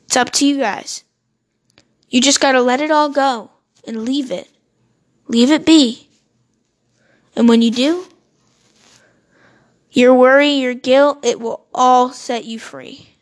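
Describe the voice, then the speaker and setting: A young woman in her teens hosting a solo podcast, talking earnestly and rambling, stumbling over words and swearing in self-mocking asides. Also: high-pitched. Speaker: podcast host. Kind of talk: solo motivational podcast monologue.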